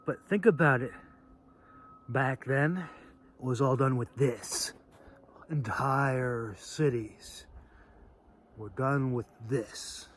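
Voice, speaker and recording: A man hosting a casual, off-the-cuff demonstration video, talking in a halting, rambling way with phrases that trail off. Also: mid-range voice, a little hiss or hum. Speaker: video host demonstrating sword carry.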